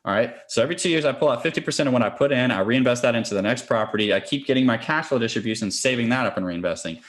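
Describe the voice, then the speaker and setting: A man's voice: medium pitch, animated, rapid-fire. A man speaking as a podcast interview guest, a real estate investor explaining things conversationally and step by step.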